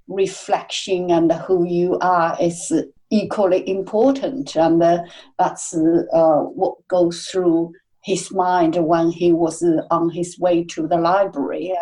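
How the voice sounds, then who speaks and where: A woman speaks as a podcast guest, her mellow, fairly low voice moving slowly through her thoughts. She pauses often and fills the gaps with many fillers. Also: flat delivery, low-quality recording. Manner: halting, many fillers, reflective. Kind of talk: casual interview conversation.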